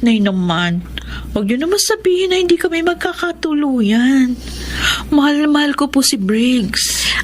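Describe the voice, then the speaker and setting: Sweet devoted young nurse, filipino accent, radio drama, slightly high voice, respectful and earnest, faint noise. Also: female voice, expressive, normal speed. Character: devoted, lovestruck young nurse, dutiful daughter.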